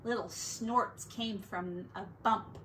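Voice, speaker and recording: Slow, expressive woman teacher reading. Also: medium pitch, background noise. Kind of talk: reading a picture book aloud.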